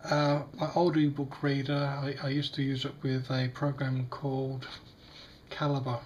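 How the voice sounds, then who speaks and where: A man with a slightly croaky voice, demonstrating a device in a casual, hesitant way with many fillers. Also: mid-range voice, completely flat delivery, a little slow, a little hiss or hum. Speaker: tech unboxing vlogger. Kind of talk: casual product demo. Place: small indoor room at a table.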